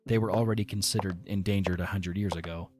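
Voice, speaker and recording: A man giving scripted narration for a fan audio drama, in a measured, even storytelling manner. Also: low-pitched, music in the background.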